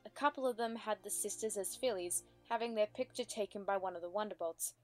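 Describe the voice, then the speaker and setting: A woman's voice: medium pitch, brisk, a little mellow. Woman narrating a story over music. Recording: music under the voice.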